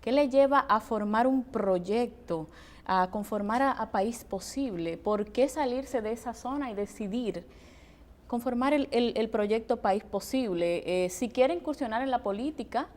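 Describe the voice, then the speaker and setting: A woman hosting a television interview, deliberately building up and repeating her questions. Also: on the high side, darkish tone. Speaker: interview host.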